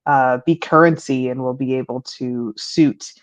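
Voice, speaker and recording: A woman's voice: measured pace, no room sound. A young adult woman from Peru, speaking as an interview guest over a remote call, reflective and thoughtful, with frequent um fillers. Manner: reflective, many um fillers, rising pitch when animated. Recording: dull lo-fi call audio.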